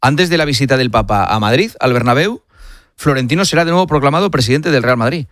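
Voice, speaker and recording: A man's voice: mid-range voice, expressive, fast, a bit bright, dry recording. A man hosts a sports talk show. He speaks emphatically and repeats his points for stress. The sound is close and dry. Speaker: radio show host.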